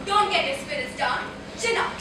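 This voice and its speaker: Young woman playing a chirpy high-voiced hotel manager. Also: lively intonation, moderate pace. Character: chirpy, very proper British hotel associate manager. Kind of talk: amateur stage comedy acting. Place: college auditorium stage, recorded from afar. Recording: echoey auditorium, distant mic, noisy.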